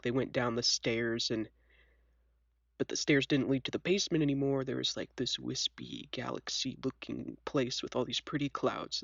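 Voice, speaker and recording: A woman's voice: rumbling low, vocal fry, dry recording, muffled recording. A young woman with a scratchy voice, stuffed up from a cold, chatting casually and self-deprecatingly as she tells stories as a host. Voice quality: scratchy, congested. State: sick with a cold, sniffly. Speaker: video host. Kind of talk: casual chat, storytelling.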